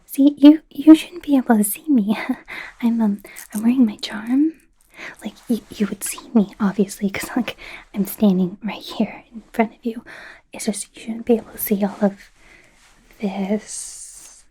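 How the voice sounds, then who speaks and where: A young woman voicing an awkward, flustered female werewolf student in a soft, whispery ASMR roleplay, stammering and rambling nervously. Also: a little high, measured pace, rough recording. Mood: flustered, curious. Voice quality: soft, breathy, often whispery. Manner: nervous, stammering, rambling, indignant when embarrassed.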